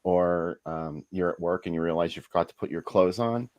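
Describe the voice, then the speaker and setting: A man hosting a casual movie podcast, with a playful, lively patter. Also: deep voice, moderate pace, dull audio. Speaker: podcast host.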